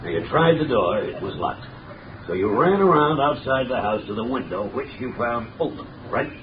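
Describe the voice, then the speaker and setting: A man's voice: mid-range voice, animated, normal speed, lightly acted. An actor playing a brusque, impatient male police commissioner in a 1930s radio drama. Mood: stern. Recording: muffled, noisy, echoey 1930s radio recording from a distance.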